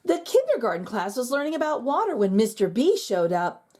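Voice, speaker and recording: A woman reading a children's picture book aloud in an expressive, sing-song storytelling manner. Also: a little high, fairly quick. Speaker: children's book reader, channel host.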